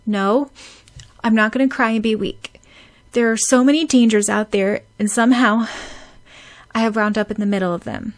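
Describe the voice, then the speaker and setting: A woman's voice: a little high, steady tone, moderate pace, natural voice. A woman voicing a tearful, determined young heroine. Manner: emotional, pleading, raised voice.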